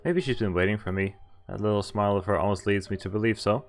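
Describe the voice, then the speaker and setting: A man's voice: fairly low pitch, steady tone, quick pace. A young man live-streaming a game, switching between reading narration aloud and casual, jokey, hesitant asides. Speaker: streamer playing and reading a visual novel. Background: game music.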